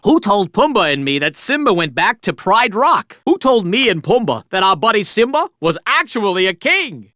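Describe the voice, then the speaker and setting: A man's voice: high voice, sharp tone, no echo, full character voice. A cocky little meerkat hosts a video game quiz, cracking jokes and cackling at his own gags. His voice swoops and bounces all over the place. It is recorded dry and close in a studio. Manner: jokey, chatty, laughs at own jokes. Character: wisecracking male meerkat quiz host. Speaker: quiz host.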